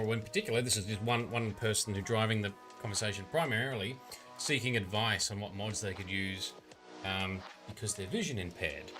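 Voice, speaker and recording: A man hosting a relaxed live stream, chatting casually with lots of fillers about his mod making. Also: medium pitch. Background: faint radio music.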